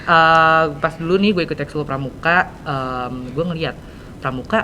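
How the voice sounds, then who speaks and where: A man's voice: moderate pace, sharp tone. A teenage male with a Jakarta Indonesian accent, hosting a casual interview in slangy, hesitant speech full of fillers. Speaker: podcast host. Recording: clear background noise, low rumble.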